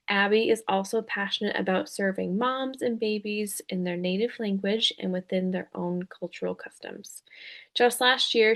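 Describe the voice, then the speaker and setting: A woman's voice: mid-range voice, vocal fry. A woman hosting a webinar as a dietitian, welcoming attendees and introducing the speaker from prepared notes.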